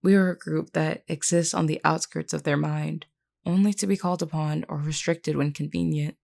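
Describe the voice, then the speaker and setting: A low-voiced vampire queen played by a woman, composed and solemn, with a gravelly fry in her tone. She speaks formally and earnestly, like a caring leader in an audio drama, reassuring and measured. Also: fairly even intonation, darkish tone. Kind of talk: scripted character roleplay audio.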